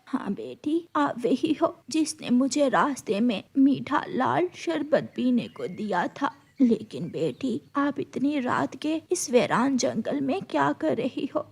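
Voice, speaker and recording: Woman as a soft, gentle old mother bird. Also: high voice, lively intonation, slow pace, gravelly, few pauses, slightly rough, full character voice. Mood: gentle concern. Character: kindly old mother bird living alone.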